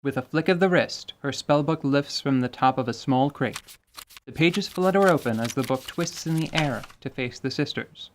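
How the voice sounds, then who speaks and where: Female narrator and game master for fantasy radio theatre, very low pitch, slightly noisy recording. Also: moderate pace.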